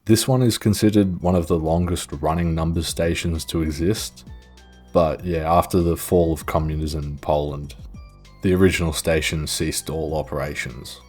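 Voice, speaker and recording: Very deep-voiced young man. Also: fairly even intonation, normal speed, a little mellow. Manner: informal, sweary, self-deprecating. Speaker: video narrator. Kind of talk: casual documentary narration with asides. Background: background music.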